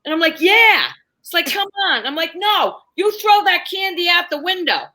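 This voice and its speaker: A woman's voice: extremely high pitch, big pitch swings, moderate pace, pushed and bright. A woman telling stories conversationally, candid and emphatic, acting out bits of dialogue as a comedian guest. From New York, United States. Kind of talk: animated conversational storytelling.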